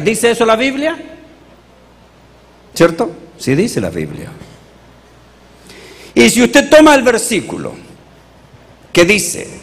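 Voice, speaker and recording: A Chilean man, a pastor, preaching a sermon with animated rhetorical questions to his congregation. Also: high-pitched, in a large hall.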